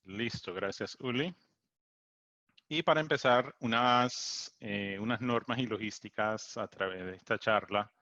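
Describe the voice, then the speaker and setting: A man's voice: lowish voice, sharp tone, no echo. A man who is a native English speaker, giving an online technical talk in Spanish with hesitant fillers and restarts, heard over a computer mic on a video call. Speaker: technical support engineer presenting a webinar. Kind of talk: online tech presentation. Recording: dull lo-fi video call audio.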